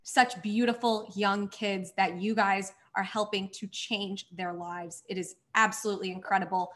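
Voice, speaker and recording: A woman's voice: medium pitch, fairly even intonation, normal speed, a bit bright. A woman hosting a virtual charity gala, thanking sponsors effusively while losing her voice. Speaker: gala host. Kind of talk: scripted event hosting.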